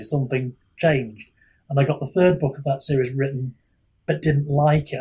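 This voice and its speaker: Male podcast guest, husky mid-pitched voice, casual and self-deprecating, thinks aloud in halting, rambling runs. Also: darkish tone, poor audio. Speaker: guest author.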